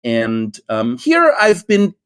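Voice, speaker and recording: A man's voice: medium pitch, wildly swooping pitch, slow, clear, resonant tone. Middle-aged New York man, English teacher hosting a voice-chat class; friendly, meandering, lots of ums and restarts. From United States. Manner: friendly, rambling with many fillers and restarts. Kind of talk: hosting an online conversation class. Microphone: online voice chat.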